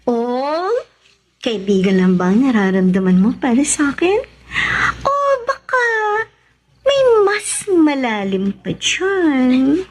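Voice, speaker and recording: High, teasing, flirty woman. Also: sing-song, takes it slowly, a little mellow, heavily acted. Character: playful, teasing, flirty woman friend of the hero. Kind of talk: Filipino radio drama acting.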